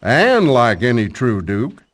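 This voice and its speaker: An actor playing a folksy, wry storyteller narrating a game with a Southern US accent. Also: male voice, medium pitch, huge pitch swings, slow pace. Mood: storytelling. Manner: wry, homespun.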